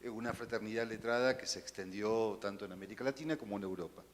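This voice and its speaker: A man's voice: on the low side, fast talker. A man in the audience asking a question hesitantly, adding tag questions. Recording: reverberant hall with faint noise.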